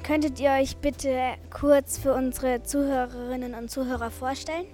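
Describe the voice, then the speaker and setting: A woman hosting a blind taste test, announcing the answers briskly and interviewing guests. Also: high-pitched, steady tone, darkish tone, faint music behind. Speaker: interviewer and quiz host.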